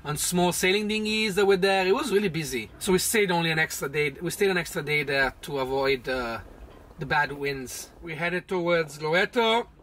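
Male boat vlog host, fairly high voice, faint hiss, story-telling. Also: normal speed, a bit bright. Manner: chatty, storytelling with restarts. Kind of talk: casual travel narration. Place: small boat cabin, indoors.

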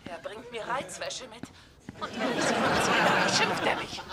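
A woman's voice: a little high, measured pace, over a noisy crowd, heavy background noise, natural voice. An actress playing a tormented, lovesick woman confessing in an overwrought way in a dubbed television show. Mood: anguished passion.

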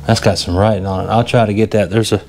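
A man's voice: on the low side, little pitch movement, fast talker, a little mellow. Folksy Southern US man, noisy outdoor recording. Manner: folksy, easygoing. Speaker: metal detecting vlogger. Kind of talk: casual outdoor vlog narration. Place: outdoors in open farm fields. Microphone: handheld or body-worn action camera. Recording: outdoor action camera with clear noise.

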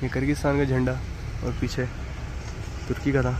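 Young male vlogger, chatty selfie-style narration, phone mic at arm's length, loud street traffic behind. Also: medium pitch, monotone, fairly quick. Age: young adult. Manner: chatty, upbeat narration to camera. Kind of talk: casual travel vlog. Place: outdoors on city streets. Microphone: phone held at arm's length. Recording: noisy outdoor street recording. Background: traffic and street noise.

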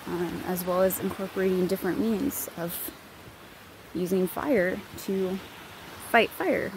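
Woman vlogging on a hike outdoors, slow and a bit gravelly, mulling over a map. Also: mid-range voice, noisy background. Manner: musing, pointing out spots on a map. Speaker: hiker vlogger. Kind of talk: casual on-trail commentary. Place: outdoors on a forest trail.

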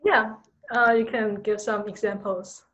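A woman's voice: medium pitch, steady tone, measured pace, fairly bright tone. A woman interviewing over a video call, speaking hesitantly with fillers. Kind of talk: casual interview questions. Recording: dull video call audio with faint noise.

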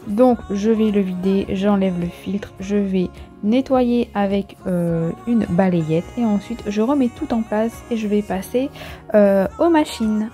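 A woman's voice: mid-range voice, a little slow. A woman giving a chatty, step-by-step explanatory voice-over in a casual household vlog style. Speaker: vlogger, host. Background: soft background music.

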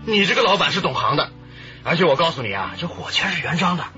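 Male, sly boastful hustler, radio drama, rather high voice, very animated, salesman patter. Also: fast talker. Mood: salesmanlike. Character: wily, boastful middleman peddling smuggled Japanese goods. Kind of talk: Chinese radio drama acting. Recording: dull radio drama audio with background music.

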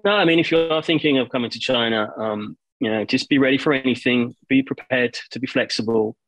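A man joins a podcast as a guest over a video call, chatting loosely with plenty of fillers. His voice sits at a middle pitch, recorded dry and close. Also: brisk, fairly bright tone, no echo, poor audio. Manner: chatty, many fillers like "you know". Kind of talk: casual chat.

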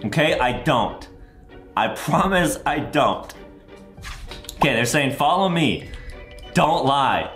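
A man, giving exaggerated, excitable gameplay commentary to viewers and often repeating his phrases. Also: on the high side, slow pace, pauses often, echoey room, faint music behind. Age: 20s. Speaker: gaming video host.

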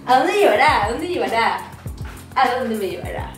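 A woman's voice: a little high, expressive, moderate pace. A woman in her twenties with a Peruvian accent, chatting casually and playfully with mock seriousness as she gives a house tour. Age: 20s. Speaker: vlogger giving a house tour. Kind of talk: casual, joking house-tour chat to camera. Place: indoors, small hard-walled rooms of a house. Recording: echoey rooms on a camera mic. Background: clear background music.

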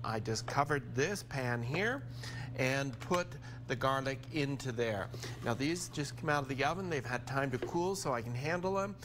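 An American man in his sixties gives a cooking demonstration in a studio kitchen. His voice sits at a middle pitch and he talks through each step conversationally. There is clear ambient noise behind him. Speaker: TV chef presenting a cooking segment.

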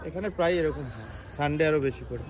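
A young man, chatting in a relaxed, matter-of-fact way. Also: a little high, fast talker. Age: young adult. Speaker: vlogger's partner. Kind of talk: casual chat. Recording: loud crowd and street noise.

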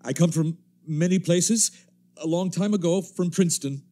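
An actor playing a weary, watchful wandering survivor in a radio drama, speaking in a measured, solemn, literary way. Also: male voice, high-pitched, mellow, natural voice. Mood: uneasy, searching. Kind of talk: dramatic radio play narration.

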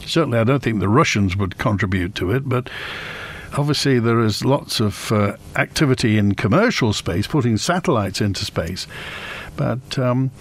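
A man's voice: mid-range voice, expressive, normal speed. A man hosting a radio phone-in from a studio, musing aloud and reading out listener messages. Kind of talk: radio talk show hosting.

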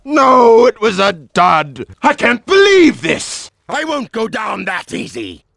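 A man's voice: very high-pitched, wildly swooping pitch, takes it slowly, gravelly, fairly bright tone, exaggerated voice. A man voicing a grandiose, scheming evil genius in a video game, theatrical and gloating, with cartoon villain relish. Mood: furious, shouting. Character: bombastic, scheming middle-aged male evil genius villain. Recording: game voice clips over background music.

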